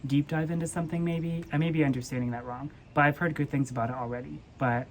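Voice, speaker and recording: A woman's voice: sits low, steady tone, quick pace, darkish tone, a little hiss or hum. A woman co-hosting a book vlog, chatting casually as she reads questions and gives her answers. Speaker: book vlogger co-hosting a Q&A tag. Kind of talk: casual chat.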